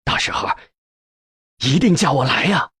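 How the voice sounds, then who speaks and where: A man's voice: a little high, animated, moderate pace, pushed and bright, breathy edge, dry recording, clean studio sound, heavily acted. A man voicing an older male scientist in an audiobook, reassuring, eager and plain-spoken.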